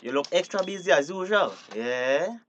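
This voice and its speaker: A man's voice: a little high, expressive, normal speed, a bit bright, highs cut off, a little hiss or hum. A man acting a teasing, suspicious fisheries officer in a radio drama, with a casual, slangy Belizean Creole accent.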